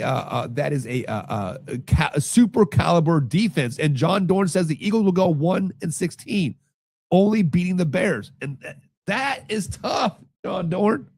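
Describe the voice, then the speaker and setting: Hoarse sick man talking football. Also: on the high side, no room sound, studio quality. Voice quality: hoarse, gravelly. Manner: rambling, repeats himself, lots of fillers. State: losing his voice, sick. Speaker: podcast host. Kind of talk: casual sports talk reading live chat.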